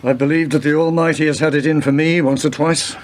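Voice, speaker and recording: An actor playing a weary, wry senior police detective in a radio play, speaking in a dry, deliberate way. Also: male voice, a little high, fairly even intonation, lightly acted. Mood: wry, grave.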